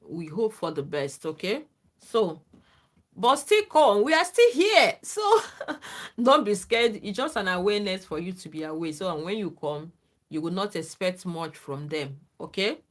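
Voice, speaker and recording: A woman's voice: lowish voice, sing-song, unhurried. Earnest woman giving advice, checks in often. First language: not English. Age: adult, former lecturer. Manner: earnest, repeats "okay?" to check in with listeners. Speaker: livestream host. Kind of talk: casual advice talk to viewers.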